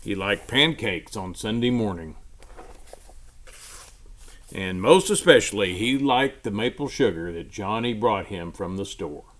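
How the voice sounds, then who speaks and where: A man reading a children's storybook aloud in an expressive, emphatic storytelling manner. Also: lowish voice, unhurried, a little hiss or hum.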